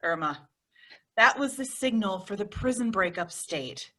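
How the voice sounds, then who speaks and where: Sensible, scolding female wisecracker for radio comedy, bright and animated, slightly rough-edged voice, acted by a woman. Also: mid-range voice, unhurried. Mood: exasperated. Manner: dry, scolding. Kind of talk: old-time radio comedy acting.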